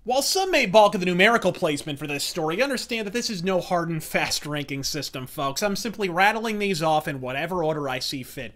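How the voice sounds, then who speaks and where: Bright, forceful, brash male reviewer. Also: high voice. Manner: emphatic, verbose and theatrical delivery; profane, brash. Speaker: host of a comics and pulp review series. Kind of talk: scripted opinionated review commentary.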